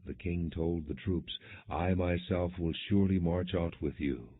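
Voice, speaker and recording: A man reading scripture as an audiobook narrator, in measured, clearly enunciated storytelling without dramatization. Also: low voice. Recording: muffled, phone-like lo-fi audio.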